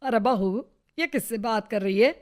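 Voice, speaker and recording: A woman's voice: a little high, moderate pace, a little mellow, natural voice. A woman voicing a gossiping older neighbor's mother-in-law in a cartoon story, falsely sweet and mocking. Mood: disapproving.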